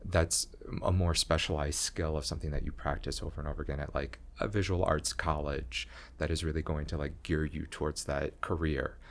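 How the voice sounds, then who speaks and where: Super low voiced guy, talks slow, pauses a lot, laid back podcast guest. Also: fairly even intonation. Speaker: comedian and graphic design teacher, guest. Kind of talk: casual interview chat.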